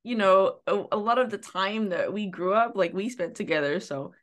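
A young woman from Macau co-hosting a relaxed podcast at an ordinary middle pitch. She prompts questions easily and laughs softly along the way. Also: moderate pace. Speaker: podcast co-host. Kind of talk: casual chat.

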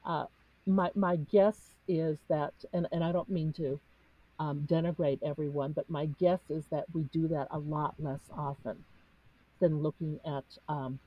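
Cantonese-native woman, low and breathy, reflective panelist, dry muffled recording. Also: fairly even intonation, no room sound. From United States. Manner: earnest, searching, pauses with fillers. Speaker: discussion panelist. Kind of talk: reflective spiritual discussion.

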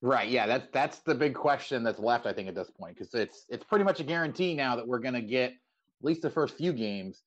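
A man's voice: medium pitch, expressive, a little fast, highs cut off. A man hosting a casual sports interview podcast, stammering his way into long, wordy questions.

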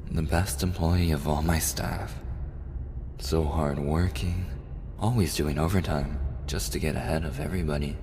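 A man playing a caring, protective male boss in an intimate boyfriend ASMR roleplay, speaking softly and gently. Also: extremely low pitch, steady tone, normal speed, background noise. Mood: serious, caring. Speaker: voice actor in boyfriend audio roleplay.

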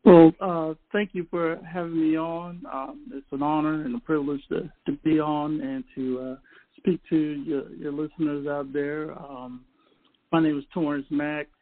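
A soft-spoken man in his forties talks as a radio guest, pausing often between phrases. His slightly high voice stays flat and even, and it comes through a thin, phone-like line. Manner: halting, soft-spoken, many pauses. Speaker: guest, retired army officer. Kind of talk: radio call-in interview. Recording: phone-like narrow audio.

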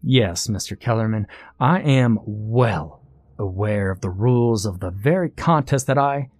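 A sinister, devilish master of games performed by a male narrator speaks with haughty formality. The voice sits fairly low and close and dry, with a sneering, lawyerly condescension suited to a dark storybook. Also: measured pace, no echo. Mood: impatient.

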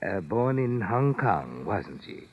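A man playing a genial, chatty older lawyer who quietly probes with tag questions, in an old-time radio drama. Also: lowish voice, sing-song, takes it slowly, husky, exaggerated voice. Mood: genial, probing. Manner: avuncular, repeats himself, trailing tag questions. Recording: muffled old radio recording.

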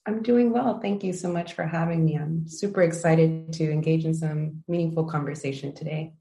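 A woman in her thirties from the United States, giving measured, thoughtful answers as an interview guest with frequent ums and uhs. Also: low voice, steady tone, vocal fry, mellow, soft background music. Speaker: interview guest, language project founder. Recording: echoey room.

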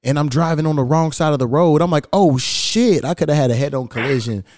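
A man's voice: fairly high pitch, a little fast, a little mellow, no room sound, clean studio sound. A man hosting a podcast, telling stories in a slangy, profane, laid-back way. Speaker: podcast host. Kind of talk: casual podcast conversation.

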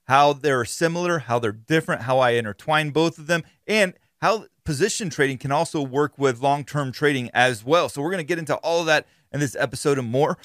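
A man's voice: on the high side, a little fast, hardly any pauses, a bit bright, no echo. A man hosting a podcast, explaining things in an informal, conversational monologue on a close mic. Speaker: podcast host.

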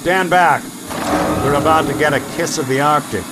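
An actor playing a wry, plain-spoken detective in a radio drama, with a dry and down-to-earth manner. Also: male voice, fairly high pitch, normal speed, very noisy, natural voice. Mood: urgent.

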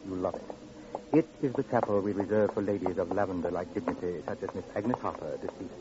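An actor playing a pompous, unctuous funeral director in an old-time radio drama, with flowery, solemn, fussily genteel speech. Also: male voice, low voice, fast, close to an everyday voice. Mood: smugly genteel. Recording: muffled vintage radio recording, faint noise.

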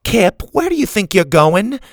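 A man voicing a feisty, no-nonsense old grandmother in a storybook, brisk and bossy. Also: sits high, big pitch swings, no room sound, clean studio sound, voice pushed up. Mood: scolding. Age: older.

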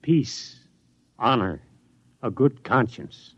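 A weary, philosophical older scientist in an old radio play. He speaks deliberately, like an orator, at a middling pitch on a dry, slightly muffled recording. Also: sing-song, a bit bright, slightly rough, dry recording, exaggerated voice. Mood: weary, resigned. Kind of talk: 1950s radio drama acting.

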